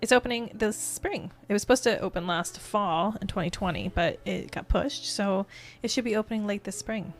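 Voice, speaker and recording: A woman's voice: medium pitch, normal speed, faint music behind. A woman co-hosting a podcast in a casual, chatty style. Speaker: podcast co-host. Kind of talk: casual chat.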